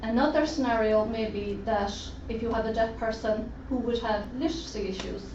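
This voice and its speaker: Woman, interpreter voice, fairly high, distant dull recording with faint hiss. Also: brisk. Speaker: sign language interpreter voicing a deaf presenter. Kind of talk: first-person interpreted presentation. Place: conference room at a roundtable event. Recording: roomy, distant, dull lo-fi sound with faint noise.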